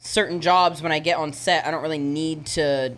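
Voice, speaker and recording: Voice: mid-range voice, steady tone, moderate pace, fairly bright tone. A podcast host chatting casually, swearing freely, joking around and reacting with amazement.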